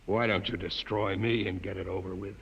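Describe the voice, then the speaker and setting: An actor playing a defiant, scornful rebel from a starship, urgent and blunt, in a 1950s radio drama. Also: male voice, deep voice, steady tone, a little fast, creaky, fairly bright tone. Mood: defiant, appalled. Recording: muffled noisy vintage radio, reverberant.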